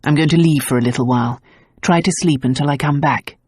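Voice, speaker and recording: A woman voicing a gruff, devoted nobleman husband in an audiobook, speaking low and tenderly. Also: brisk, darkish tone, no echo, studio quality. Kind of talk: audiobook character voice.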